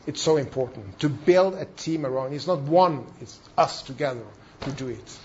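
A man with a Norwegian accent giving a seminar talk as a church planting trainer, in halting non-native English with emphatic repetition. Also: mid-range voice, a little mellow, slight background noise. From Norway. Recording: dull, lo-fi sound.

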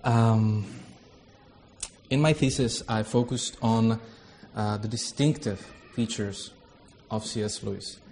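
A man's voice: lowish voice, fairly even intonation, slow pace, a little mellow, a little hiss or hum. A man from the Czech Republic with a Czech accent, a theology lecturer opening a workshop in a hesitant, filler-heavy way. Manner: hesitant, many fillers, self-deprecating. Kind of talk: informal workshop talk with a prayer.

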